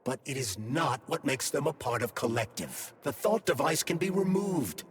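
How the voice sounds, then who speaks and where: A man's voice: medium pitch, very animated, breathy edge, long reverb tail. A man playing a sentient glove that holds a dead scientist's mind, formal and coolly vengeful, in a video game cutscene. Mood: explaining. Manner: articulate, formal, measured explaining.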